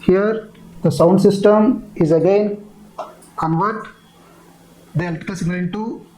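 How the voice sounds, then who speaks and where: A male teacher speaks in a high, mellow voice. He explains step by step in a halting way, often repeating his phrases. A little background noise sits behind him. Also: fairly even intonation, rough recording. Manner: halting, repetitive delivery. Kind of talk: explanatory technical lecture.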